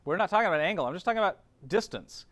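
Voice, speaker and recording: A man's voice: high voice, animated, very fast, fairly bright tone, no room sound. A man teaching a class informally, explaining step by step and often checking understanding with short tag questions.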